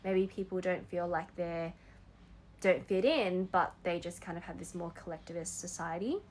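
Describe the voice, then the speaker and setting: Thoughtful young Australian woman, low voice. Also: little pitch movement, moderate pace, darkish tone, a little hiss or hum. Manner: thoughtful, earnest, laughs lightly now and then. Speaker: vlogger. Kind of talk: reflective personal talk to camera.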